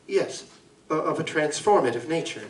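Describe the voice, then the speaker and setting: A man playing an anguished Victorian gentleman scientist in a live audio drama, speaking formally, eloquently and deliberately. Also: on the high side, expressive, lightly acted. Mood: earnest, scholarly. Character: anguished gentleman scientist confessing to a monstrous alter ego. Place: live convention performance in a room. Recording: roomy live stage recording, distant mic.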